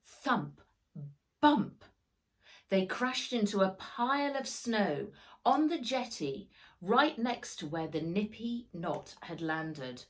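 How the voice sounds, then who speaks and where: A woman author reading her own children's story aloud as expressive narration, with dramatic delivery of the sound words. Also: medium pitch, takes it slowly.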